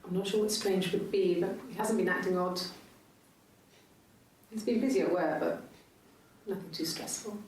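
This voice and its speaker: An actress playing a defensive, sharp-tongued woman under police questioning, answering in guarded, clipped phrases in a naturalistic style. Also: on the low side, fairly even intonation, normal speed, pauses often, close to an everyday voice. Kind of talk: naturalistic recorded interrogation acting. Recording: echoey, distant, noisy interview-room tape.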